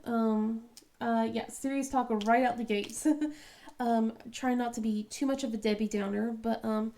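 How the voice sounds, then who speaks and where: A woman chatting casually as she works on a craft project, halting with many ums and restarts, sniffly from a cold. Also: on the high side, steady tone, mellow. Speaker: diamond painting vlogger. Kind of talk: casual chat while crafting.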